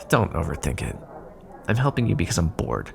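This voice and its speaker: A man's voice: low-pitched, very animated, moderate pace, vocal fry, background noise, heavily acted. A man playing a sly, teasing vampire in an intimate, sarcastic ASMR roleplay monologue. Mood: playful, impatient. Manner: sarcastic, teasing, drawling asides. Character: sly teasing male vampire bargaining with his hunter rival.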